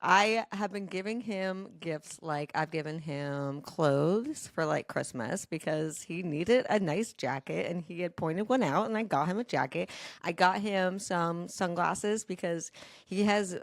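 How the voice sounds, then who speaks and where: A woman's voice: lowish voice, unhurried, dry recording. A woman co-hosting a podcast in a casual, conversational style. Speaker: podcast co-host. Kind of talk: casual chat.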